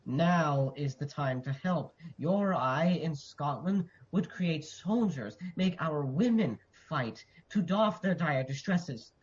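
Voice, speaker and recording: A man playing a sorrowful noble messenger in a Shakespearean radio drama, delivering solemn, measured verse. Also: a little high, expressive. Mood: guarded, solemn. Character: Ross, sorrowful noble messenger bearing dreadful news. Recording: slightly dull, muffled audio.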